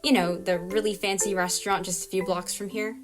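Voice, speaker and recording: A woman's voice: mid-range voice, steady tone, brisk, soft background music, lightly acted. A woman voicing a perky, smug, encouraging matchmaker in an audio drama, coaxing and breezy. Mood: scheming, pleased.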